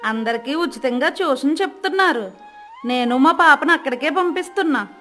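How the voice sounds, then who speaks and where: A woman plays a chatty, helpful village aunt in a cartoon moral tale. Her voice is high and bright, with music underneath. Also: lively intonation, normal speed. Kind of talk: animated moral story dialogue.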